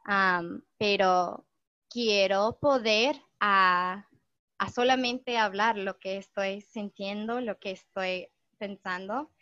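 Young woman, english is her first language, slow careful spanish, lots of ums, dull dry lo-fi sound. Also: mid-range voice, bright, forceful tone, dry recording. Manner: slow and thoughtful, with many "am" fillers and restarts. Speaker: guest. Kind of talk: casual conversation in learned Spanish.

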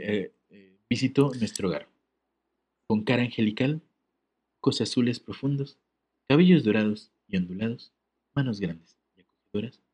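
A man's voice: on the low side, darkish tone, slightly rough. A man co-hosting a podcast, reading a letter aloud and breaking off into jokes and laughter. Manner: joking, laughs often, reads with comic asides. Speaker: podcast co-host.

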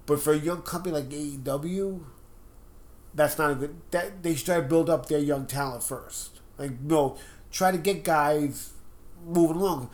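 A man's voice: a little high, a little mellow. A man with a stuffed-up nose, co-hosting a casual wrestling podcast and arguing his opinions at length. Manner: opinionated, talks at length in quick bursts. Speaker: podcast co-host.

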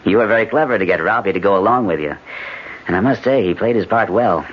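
A man's voice: lowish voice, fast, a little hiss or hum, lightly acted. An actor playing a skeptical, exasperated husband and father in a radio drama, firm and dismissive. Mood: skeptical, accusing. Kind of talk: 1970s radio drama acting. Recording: muffled 1970s radio recording, faint music.

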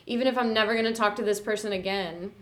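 A woman's voice: medium pitch, steady tone, fast talker, fairly bright tone. Earnest, thoughtful woman teacher. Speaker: teacher guest. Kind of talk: reflective casual chat.

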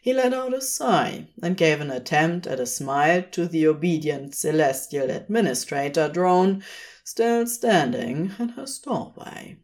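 Slow, expressive woman narrating fiction. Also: low voice. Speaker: podfic narrator.

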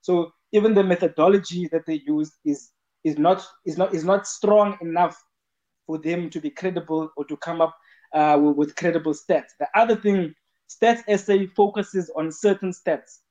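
Guy in a live voice chat, kinda high voice, earnest, keeps repeating words, dull lo-fi sound. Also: moderate pace, a little mellow. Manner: earnest, explanatory, repeats words while building a point. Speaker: call-in speaker in a live audio chat room. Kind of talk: casual spoken argument.

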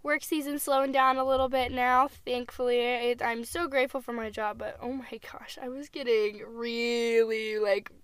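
A young woman co-hosting a casual podcast from a parked car, hoarse from a cold, chatty, laughing and restarting her words. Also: fairly high pitch, level tone, moderate pace, hardly any pauses, no room sound. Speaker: podcast co-host. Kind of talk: casual chat.

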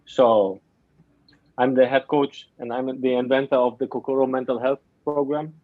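A man who grew up in Afghanistan and Germany, a mental training coach telling his story haltingly in an interview, often restarting his sentences. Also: medium pitch, fairly even intonation, no echo, phone-quality sound. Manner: halting, searching for words, with many restarts. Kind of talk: casual interview talk.

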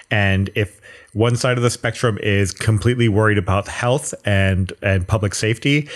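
Man, podcast co-host, lowish voice, casual rambling chat, restarts sentences, joking asides, close desk mic. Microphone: desk mic pointed upward at his mouth.

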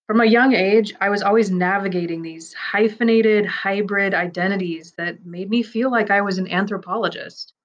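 A woman narrating a man's first-person story aloud, in a measured, reflective and earnest way. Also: mid-range voice, lo-fi sound.

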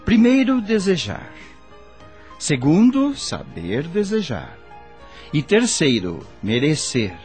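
An actor playing a patient, kindly male spirit guide in a radio drama, teaching in formal, measured diction. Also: fairly high pitch, huge pitch swings, a little mellow, soft background music, full character voice. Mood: emphatic teaching.

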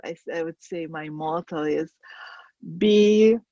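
A woman with a Lithuanian accent, a guest designer on a podcast, speaking hesitantly with many pauses and fillers. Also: low-pitched, dark tone, no room sound, highs cut off. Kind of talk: podcast interview.

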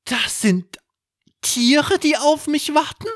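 High-pitched anxious little boy. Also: takes it slowly, a bit bright, no room sound, studio quality, full character voice. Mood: puzzled, anxious. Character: small, wide-eyed, anxious, curious boy.

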